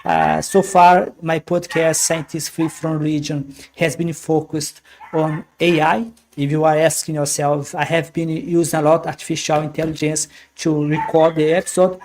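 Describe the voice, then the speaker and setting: A man whose first language is Portuguese, hosting a podcast live in a casual, halting way with many fillers and restarts. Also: fairly high pitch, a little mellow, slight background noise. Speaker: podcast host. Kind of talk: casual live-stream talk. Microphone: computer microphone.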